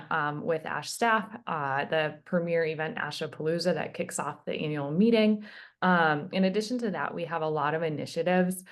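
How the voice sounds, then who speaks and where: A woman in her thirties from Minnesota, a medical fellow answering interview questions earnestly, with many filler ums. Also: low-pitched. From Minnesota, USA. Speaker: hematology fellow, guest.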